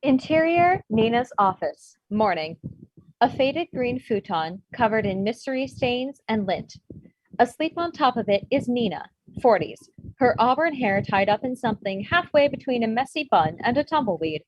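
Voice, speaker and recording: High-voiced woman narrator, dull noisy mic. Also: very animated, normal speed, no echo. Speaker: reader of stage directions. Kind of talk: scripted narration at comedy table read. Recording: dull, noisy home microphone with clicks.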